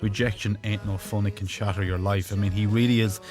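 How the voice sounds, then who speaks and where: A man speaking as a music critic on a radio panel, giving measured, informative comments and often repeating words as he restarts. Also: lowish voice, steady tone, a little mellow. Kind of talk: radio review panel discussion. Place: radio studio.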